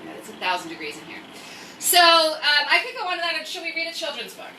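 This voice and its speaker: Woman, American accent, very bright forceful voice, chatty livestream host, rambling jokey asides, echoey room, faint noise. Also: sits high, very animated, quick pace. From United States. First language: English. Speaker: host of a live story-time stream. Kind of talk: casual chatty livestream with reading aloud. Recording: roomy echo, distant mic, faint noise.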